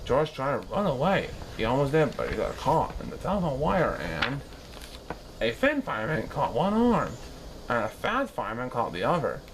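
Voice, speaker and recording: A man reading a children's story aloud in an expressive, animated style with dramatic pauses. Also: medium pitch, a little hiss or hum.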